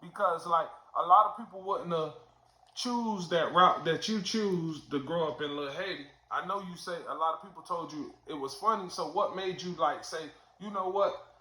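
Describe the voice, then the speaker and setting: A man's voice: a little high, moderate pace, bright, forceful tone, roomy sound, low-quality recording. A man hosting a casual interview in slangy, informal language with a hype-man delivery. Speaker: interview host.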